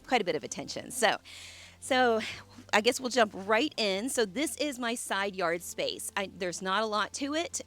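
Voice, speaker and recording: A woman in her forties, hoarse from a cold, chatting casually as she leads a tour of her garden outdoors. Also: mid-range voice. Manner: chatty, warm asides with fillers and restarts. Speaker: landscape designer and channel host. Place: outdoors in her own garden side yard. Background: soft music and running water.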